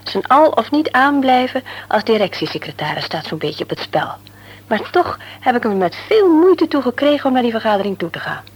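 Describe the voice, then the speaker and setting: An actress playing a worried, thoughtful mother in a Dutch radio play, speaking in a measured, explanatory way. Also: a little high, very animated, husky. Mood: concerned, fond. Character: Els, worried mother and former nurse, thoughtful. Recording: humming, noisy radio play recording.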